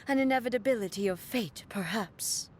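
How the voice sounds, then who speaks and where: A woman voicing a solemn, unyielding warrior goddess in a video game, speaking in a formal, stately, measured manner. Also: a little high, a little hiss or hum, close to an everyday voice. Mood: solemn, resolute. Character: solemn, unyielding immortal warrior goddess and her puppet double. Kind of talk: dramatic video game dialogue.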